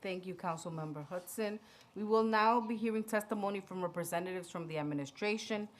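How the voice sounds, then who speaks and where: A woman's voice: mid-range voice, brisk, slight background noise. Dominican-accented woman chairing a hearing. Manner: informal, stumbling over names. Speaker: committee chair. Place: city council hearing room.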